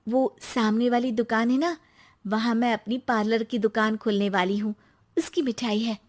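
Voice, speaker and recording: A woman voicing an emotional young wife in a cartoon story, speaking in a pleading way that takes offense quickly. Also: on the high side, steady tone, lightly acted. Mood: worried.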